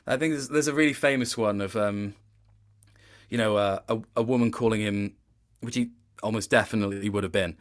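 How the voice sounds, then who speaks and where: A man's voice: lowish voice, fairly even intonation, fairly quick. Man chatting in a rambling interview. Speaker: voice actor, interview guest. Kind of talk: casual interview chat, anecdotal.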